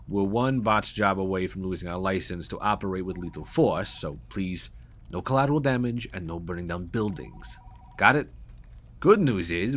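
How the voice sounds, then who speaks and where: A man playing a pragmatic, pushy mercenary company boss in an audio drama, with a husky voice and a brusque, businesslike manner. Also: low voice, fairly bright tone, exaggerated voice. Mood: wry, uneasy. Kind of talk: cinematic audio roleplay acting.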